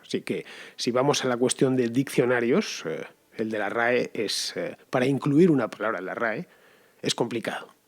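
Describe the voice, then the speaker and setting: Male podcast host, casual and unhurried, fills pauses with "eh". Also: medium pitch. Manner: relaxed and conversational, pauses with fillers. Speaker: podcast host answering listeners. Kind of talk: casual spoken commentary.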